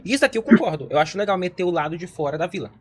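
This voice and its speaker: A man's voice: high-pitched, expressive, racing. A young man with a Brazilian accent giving casual, opinionated reaction commentary as a host, in a slangy, emphatic, argumentative way. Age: young adult.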